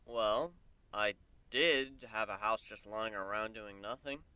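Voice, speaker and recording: A man's voice: on the low side, slow, pushed and bright, clean tone, no room sound. A man voicing a male pegasus pony in a story reading, plainspoken, folksy, wry and earnest.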